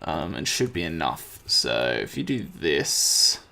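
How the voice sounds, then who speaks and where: A man with a slightly croaky voice, casually commenting on his gameplay and thinking aloud as he crafts. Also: rumbling low, big pitch swings, slow pace, sharp tone. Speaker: let's play host.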